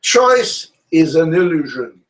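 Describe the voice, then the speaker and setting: Halting man, a bit off-mic. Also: on the high side, sing-song, recorded from a distance. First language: French. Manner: halting, searches for words in his second language. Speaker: co-host. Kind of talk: casual panel discussion.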